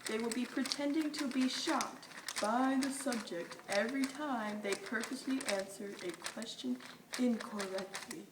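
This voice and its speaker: High-voiced woman, roomy and distant. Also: normal speed. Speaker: puppeteer. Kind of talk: scripted puppet show narration. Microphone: phone or camera at a distance. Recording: roomy, distant mic, clear noise.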